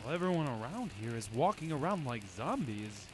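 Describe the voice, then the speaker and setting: An actor playing a chatty, jittery newspaper reporter in an old-time radio drama, folksy and slangy. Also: male voice, medium pitch, very animated, a little fast, close to an everyday voice. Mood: nervous. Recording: echoey hall with faint noise.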